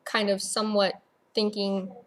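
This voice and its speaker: A woman with a medium-pitched voice takes part in a podcast panel. She speaks conversationally, offering her views as one fan among others. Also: level tone, measured pace, a little hiss or hum. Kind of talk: casual fan discussion.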